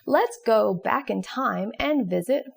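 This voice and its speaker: A woman's voice: on the high side, expressive, a little slow, gravelly, breathy edge. A woman presenting an educational explainer in scripted narration. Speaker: presenter of an educational video series.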